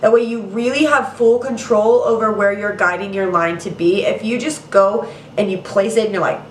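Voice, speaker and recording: A woman's voice: medium pitch, steady tone, normal speed, a little hiss or hum. A young woman hosting a makeup tutorial in a casual, chatty way, a little nasal and congested from allergies. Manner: chatty, casual, says "girl" and "you guys". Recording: roomy, mic at some distance.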